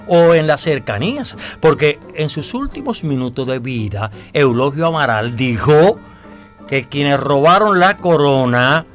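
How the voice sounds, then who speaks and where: An actor playing a self-assured, scheming blackmailer in a radio drama, speaking in a deliberate, insinuating, theatrical way. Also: male voice, medium pitch. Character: scheming, self-assured male blackmailer proposing a treasure alliance.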